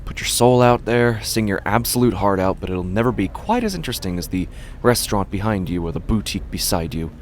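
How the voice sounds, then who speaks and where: A man's voice: fairly low pitch, expressive, fairly quick, hardly any pauses, lightly acted. A man playing a weary, resigned street musician, narrating a horror audio drama in a brooding, understated way. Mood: bitter, resigned. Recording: faint background noise.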